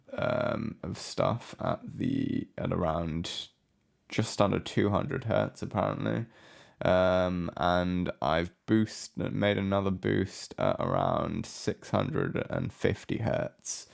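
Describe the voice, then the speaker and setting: A man giving a casual, unscripted software walkthrough as a tutorial presenter, low-key and hesitant in manner. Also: very animated, very slow, creaky. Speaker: music production tutorial presenter.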